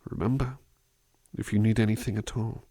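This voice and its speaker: Man playing a low, gravelly, soft-spoken gentle butler. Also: fairly even intonation, fairly quick, dry recording. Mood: kind, attentive. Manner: hesitant, musing, polite, trailing off. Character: gentle, attentive male butler reading poetry at a picnic. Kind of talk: ASMR roleplay, soft-spoken poetry reading and musing.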